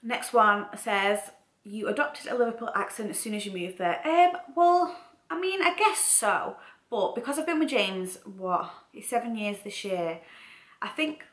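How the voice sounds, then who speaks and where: A woman's voice: mid-range voice, big pitch swings, measured pace, fairly bright tone. A young woman with a Mancunian accent tinged with Scouse, chatting casually and informally in a vlog, rambling and repeating herself for emphasis. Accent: Mancunian with some Scouse. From Manchester, England. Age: young adult. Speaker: vlogger. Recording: roomy, echoey home room.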